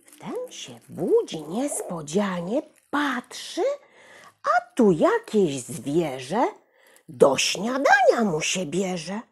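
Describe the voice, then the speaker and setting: A woman's voice: mid-range voice, wildly swooping pitch, very slow, slightly rough. A middle-aged woman telling a children's story theatrically, speaking directly to young listeners. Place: small furnished room at home, indoors.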